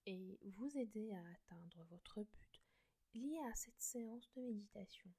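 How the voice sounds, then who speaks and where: A woman in a soft breathy whisper, gently guiding a meditation close to the mic. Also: medium pitch, a little slow. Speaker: meditation guide.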